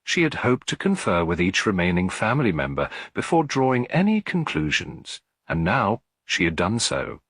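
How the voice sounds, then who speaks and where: A man narrating a classic detective story in a measured, dramatic manner. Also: on the low side, big pitch swings, fairly bright tone, husky, no echo. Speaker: audiobook narrator.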